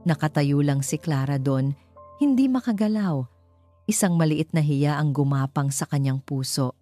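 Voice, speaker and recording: Female narrator for romance audio story, Filipino accent, low and soft-edged mellow delivery. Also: normal speed, soft background music.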